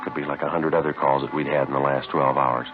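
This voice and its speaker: A terse, deadpan homicide detective, speaks very low and quickly. He is matter-of-fact in vintage radio crime-drama style, on a muffled recording. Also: music in the background. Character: terse, deadpan homicide police detective narrating his case. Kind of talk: 1950s radio drama narration.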